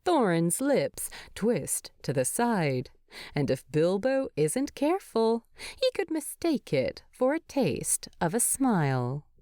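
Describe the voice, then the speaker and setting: Dry-sounding woman narrating with lively pitch. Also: takes it slowly, no echo. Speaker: narrator of fan fiction. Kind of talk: expressive scripted story narration.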